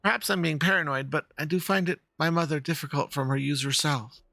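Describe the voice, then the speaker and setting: A man voicing an earnest, worried young fox-girl in halting, polite speech, reading out video game dialogue. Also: on the high side, vocal fry, bright, forceful tone, dry recording, studio quality.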